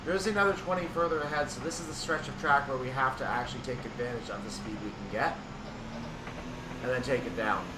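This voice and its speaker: A man giving casual commentary on a train simulator, thinking aloud and explaining in an unhurried way. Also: medium pitch. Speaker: gaming video creator. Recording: distant mic, clear background noise.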